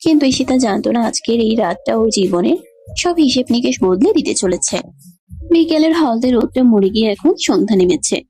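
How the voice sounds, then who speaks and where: A woman's voice: a little high, lively intonation, moderate pace, mellow, breathy edge. A woman giving scripted narration of a romantic story.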